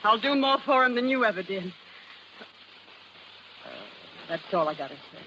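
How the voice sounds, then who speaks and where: A woman's voice: medium pitch, expressive, fast, dull audio, natural voice. An actress playing a tough, worldly carnival girl in a 1930s radio drama, defiant, with brassy slangy talk. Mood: spiteful. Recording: noisy old 1930s radio recording.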